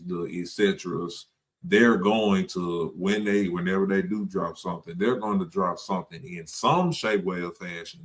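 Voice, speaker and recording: A young man co-hosting a casual music review podcast, laid-back and searching for his words. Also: low-pitched. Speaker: podcast co-host.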